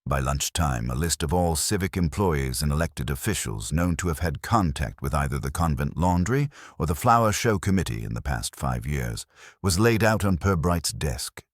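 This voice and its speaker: Very deep-voiced male mystery narrator. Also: lively intonation, moderate pace, no echo, clean studio sound. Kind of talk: scripted narration of a mystery story.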